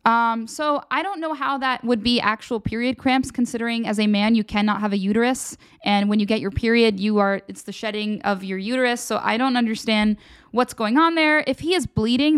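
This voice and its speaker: A woman's voice: fairly high pitch, clear, resonant tone. A woman hosting her own live show, chatting casually and candidly with viewers and handing out frank advice. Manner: candid, advice-giving, loose and conversational. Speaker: host of a livestream show.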